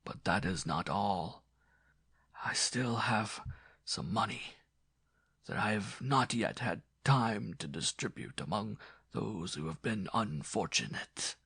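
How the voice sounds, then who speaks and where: A man voicing a dying old former prosecutor who confesses his guilt in a grave, halting, formal way. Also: on the low side, expressive, gravelly, a bit bright, husky, no echo, clean studio sound, heavily acted. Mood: solemn, pleading. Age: older.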